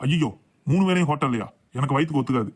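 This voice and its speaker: A man's voice: mid-range voice, lively intonation, rapid-fire, a little mellow, close to an everyday voice. A man voicing a boastful, grumbling husband and father in a comic cartoon. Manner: blustering, complaining.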